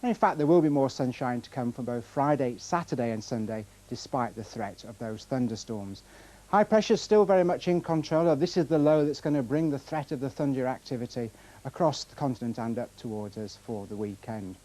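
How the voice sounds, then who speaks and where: Male English weather presenter, mellow mid-range voice, chatty and upbeat forecast delivery. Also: mid-range voice, fairly quick, poor audio. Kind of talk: conversational television weather forecast.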